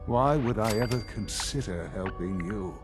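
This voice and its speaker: An actor playing a weary, bitter old scientist near death in a game, gravelly and resigned. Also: male voice, lowish voice, lively intonation. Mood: bitter resignation. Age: older. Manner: slow, resigned. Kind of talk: dramatic game cutscene acting. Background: music.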